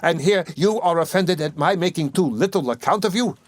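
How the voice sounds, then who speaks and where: A man's voice: medium pitch, expressive, moderate pace, no echo, voice pushed up, lightly acted. A man reading aloud, voicing an earnest, spirited young woman who pleads with feeling. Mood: indignant. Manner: impassioned, pleading.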